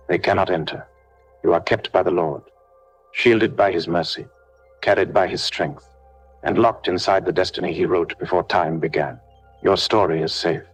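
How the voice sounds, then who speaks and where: A man delivering a scripted devotional prayer in a solemn, declarative way with measured phrasing. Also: sits low, dull audio. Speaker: prayer leader. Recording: music behind the voice. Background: background music.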